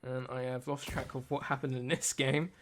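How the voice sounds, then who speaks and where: A male esports caster calls a shooter match play by play, his voice worn and slightly raspy from the late hour. He keeps up the running commentary alone from a home setup. A faint hiss sits under his voice. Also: medium pitch, little pitch movement, moderate pace. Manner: self-deprecating, talks through tech trouble.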